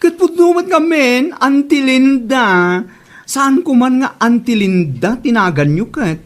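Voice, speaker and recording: A woman's voice: high-pitched, lively intonation, a little slow. A woman playing a cheeky, bold village gossip in a comedy drama, with a teasing, exclamatory manner. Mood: teasing, startled. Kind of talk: Ilocano comedy drama acting.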